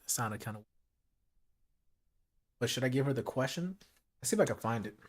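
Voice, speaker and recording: A man running a late-night live stream, talking casually and directly to his chat, with his voice gone hoarse from hours of talking. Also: medium pitch, very animated, moderate pace, a bit bright. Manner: casual, chatty, talks straight to his chat.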